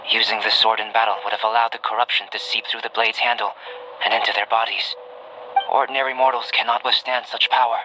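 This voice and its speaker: An actor playing a calm, curious young male alchemist in a video game, speaking in a measured, detached and articulate scholarly way. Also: lowish voice, noisy background, natural voice. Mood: calm, explanatory. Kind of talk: scripted video game voice acting. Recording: music behind the voice.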